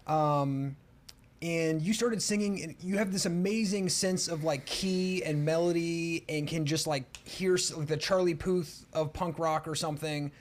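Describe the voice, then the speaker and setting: A man's voice: fairly high pitch, unhurried. A man hosting a podcast, asking a guest loose, joking questions with casual swearing. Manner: loose, profane, chummy questions. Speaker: podcast host.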